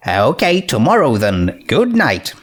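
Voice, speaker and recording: A man's voice: medium pitch, huge pitch swings, measured pace. A man voicing a bookish, awkward young female pony who speaks in an earnest, wordy way in a story reading. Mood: mild. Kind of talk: fan fiction character reading.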